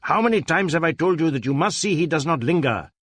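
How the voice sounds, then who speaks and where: A man's voice: on the high side, lively intonation, fast, a bit bright, no room sound, voice pushed up. Man acting an irritable, snarling, self-righteous father. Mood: snarling, scolding. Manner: snarling, retorting. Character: irritable, self-righteous Indian husband and father, a police inspector. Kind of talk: acted dialogue in a storybook reading.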